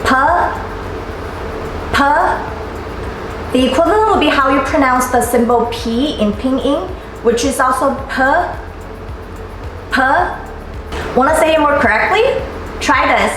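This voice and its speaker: High-voiced woman teaching in an echoey room. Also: animated, a little slow, pauses often. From Taiwan. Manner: upbeat, playful, drilling sounds clearly for repetition. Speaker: Mandarin teacher. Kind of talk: scripted language lesson. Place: living room at home. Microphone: camera at a distance, seated facing it. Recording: very echoey room, faint music.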